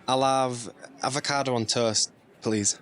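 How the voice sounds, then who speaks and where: An actor playing a quiet, reserved nineteen-year-old nephew in an audio drama. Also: male voice, medium pitch, steady tone, unhurried, some chatter behind, lightly acted. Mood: subdued, polite. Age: young adult. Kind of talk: audio drama acting, naturalistic dialogue.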